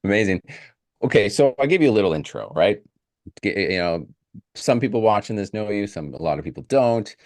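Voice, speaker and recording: Deep-voiced upbeat male podcast host. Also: lively intonation, a little fast, darkish tone. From Canada. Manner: upbeat, effusive, laughs easily. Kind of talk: casual interview chat.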